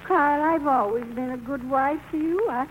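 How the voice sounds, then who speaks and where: An actress playing a devoted older wife with a plaintive, fretting, halting delivery in 1940s radio drama style. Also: female voice, sits high, close to an everyday voice. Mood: plaintive, worried. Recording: muffled, faintly noisy vintage radio recording.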